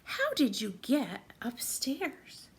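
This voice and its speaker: A woman voicing a puzzled but kindly male night watchman in a children's storybook, with a deliberate, questioning delivery. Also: sits high, huge pitch swings, slightly rough, heavily acted. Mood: kindly surprise.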